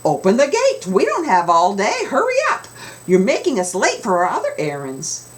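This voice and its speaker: A woman's voice: medium pitch, huge pitch swings, normal speed, voice pushed up. Fussy storybook grown-ups, swooping intonation , voiced by a woman. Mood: impatient. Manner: scolding, complaining delivery. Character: fussy, impatient small-town grown-ups who disapprove.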